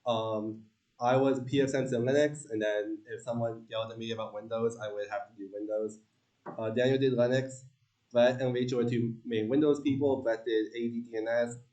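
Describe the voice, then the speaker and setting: A man's voice: mid-range voice, moderate pace, far from the mic. Young man, casual presenter, joking, filler-heavy, echoey room, off-mic. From Long Island, New York. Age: young adult. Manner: relaxed, joking, many ums. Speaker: student presenter.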